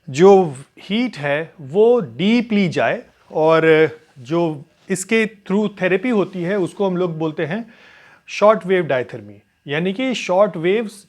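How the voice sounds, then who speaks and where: A man presenting an explanatory health tutorial as a physiotherapist, in a didactic way, repeating phrases for emphasis. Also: fairly high pitch.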